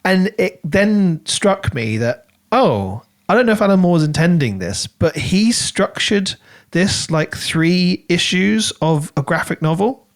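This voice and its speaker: Higher-voiced man in casual book chat. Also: very animated, measured pace. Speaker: podcast co-host reviewing a book. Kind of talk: casual conversational book discussion.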